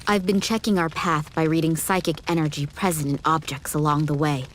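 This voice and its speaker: An actress playing a stern, composed warrior princess in a video game, speaking in a formal, measured and authoritative way. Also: female voice, low voice, fairly even intonation, lightly acted. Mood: composed, grave. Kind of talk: video game cutscene acting. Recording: noisy game audio with background ambience.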